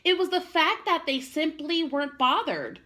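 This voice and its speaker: A woman voicing a sweet, patient mother raccoon who gently explains things in a picture book. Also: high-pitched, normal speed, voice pushed up. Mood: patient, explaining. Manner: gentle explaining in storytelling phrases. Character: sweet, patient mother raccoon telling her cub a tale.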